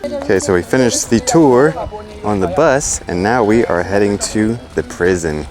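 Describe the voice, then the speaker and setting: A man's voice: fairly low pitch, expressive, moderate pace. A man hosting a travel vlog, talking casually to camera about his trip. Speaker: travel vlogger and host. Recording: music behind the voice.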